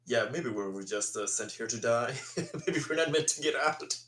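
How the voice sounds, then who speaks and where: A man's voice: medium pitch, moderate pace, a bit bright, roomy sound, close to an everyday voice. A man voicing a weary, skeptical squad member, sardonic and crude, for a tabletop game, on a slightly noisy mic. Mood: sardonic, grumbling.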